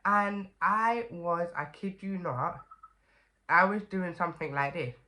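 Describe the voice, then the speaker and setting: A woman's voice: fairly low pitch, slow, pushed and bright. A young woman with a stuffy, congested voice from a cold, chatting casually to camera as a vlogger with lots of fillers and "okay?" asides.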